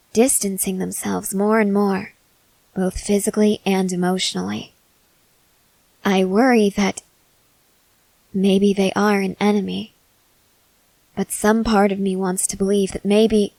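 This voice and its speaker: A woman playing a stoic, confident young woman soldier in an ASMR roleplay, speaking in a measured, serious, guarded way with hesitant pauses. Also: mid-range voice, gravelly, darkish tone, no echo, low-quality recording. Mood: worried, grave. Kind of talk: ASMR roleplay, acted scene to a listener.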